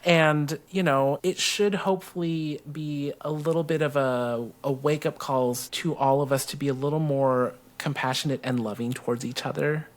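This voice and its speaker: A man's voice: fairly high pitch, steady tone, moderate pace, hardly any pauses. Middle-aged American man telling personal stories. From South Texas, USA. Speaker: guest co-host. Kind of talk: conversational personal storytelling.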